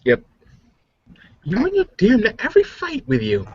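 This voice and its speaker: A man's voice: high-pitched, huge pitch swings, moderate pace, darkish tone, breathy edge. A man playing a tabletop game, chatting casually and jokingly. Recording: muffled lo-fi voice recording.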